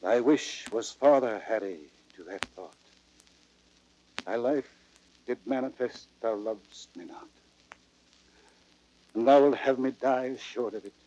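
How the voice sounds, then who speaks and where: An actor as a slow, bitter, dying old king. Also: medium pitch, mellow, dull audio, lightly acted. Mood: bitter reproach. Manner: declamatory, with big swells and drops. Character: dying old king, bitter and grieving over his son. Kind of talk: classical Shakespearean radio drama acting.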